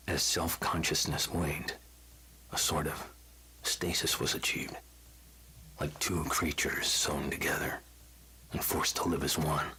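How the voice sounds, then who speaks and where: Very deep, husky mad scientist villain. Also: male voice, exaggerated voice. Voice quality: hushed, husky, breathy. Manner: slow, deliberate, clinical, brooding menace. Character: cold, tormented, vengeful male mad scientist villain. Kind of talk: scripted video game audio log monologue.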